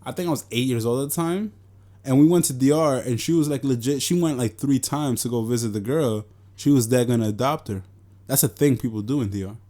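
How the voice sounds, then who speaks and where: A man's voice: mid-range voice, a little fast, warm tone. Joking twenties man with New York accent. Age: 20s. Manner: joking, loose, swears freely. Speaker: podcast co-host. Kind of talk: casual chat.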